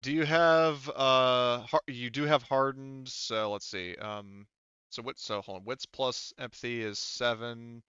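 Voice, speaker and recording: A man's voice: mid-range voice, fairly even intonation, measured pace, pushed and bright, clean tone, dry recording. A man speaking as game master of a tabletop roleplaying game, casually profane, talking players through the rules. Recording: dull, lo-fi close dry voice track.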